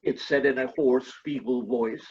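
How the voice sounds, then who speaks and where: A woman's voice: very deep voice, fairly even intonation, measured pace, darkish tone. A woman reading scripted narration for a readers' theater storybook piece, over dull lo-fi audio.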